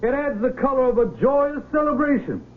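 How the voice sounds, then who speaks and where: An actor playing a pompous American senator delivering grandiose, formal oratory in a radio drama. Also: male voice, very high-pitched, fairly quick, a little hiss or hum. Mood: solemn, grandiose. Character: pompous United States senator giving a ceremonial speech. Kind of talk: 1970s radio drama acting. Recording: tinny old radio recording.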